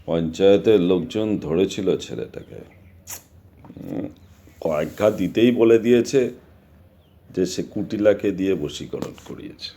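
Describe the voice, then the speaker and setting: A man playing a middle-aged village father in an audio drama, speaking in a measured, fatherly, authoritative manner. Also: low voice, warm tone. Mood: matter-of-fact.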